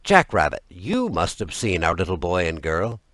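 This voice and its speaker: A man's voice: low-pitched, wildly swooping pitch, moderate pace, a bit bright, no room sound, clean studio sound, exaggerated voice. A man voicing worried parents in a fairy tale, asking urgent, pleading questions about their lost children. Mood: anxious, pleading.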